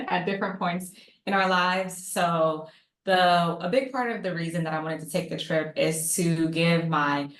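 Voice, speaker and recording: A woman's voice: low voice, roomy sound. A woman in her thirties from Maryland, a psychologist guest talking casually on a video call, chatty and saying "like" a lot. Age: 30s. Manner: warm, chatty, uses "like" often. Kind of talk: casual panel talk.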